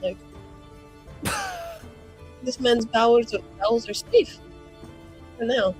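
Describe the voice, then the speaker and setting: A woman's voice: fairly high pitch, slow pace, darkish tone, soft background music. A playful, exclamatory woman who swears freely, chatting casually and improvising as a player in a tabletop roleplaying game.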